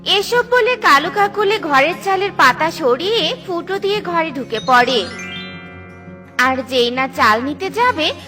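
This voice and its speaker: A woman's voice: extremely high pitch, lively intonation, measured pace, over music. A woman giving scripted narration for a children's cartoon story.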